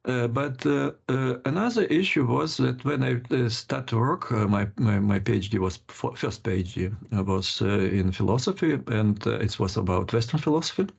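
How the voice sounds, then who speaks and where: Older man, interview guest, very gravelly husky voice, halting delivery, muffled call audio. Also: medium pitch. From Lithuania. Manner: halting, many restarts and laughs. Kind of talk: casual interview.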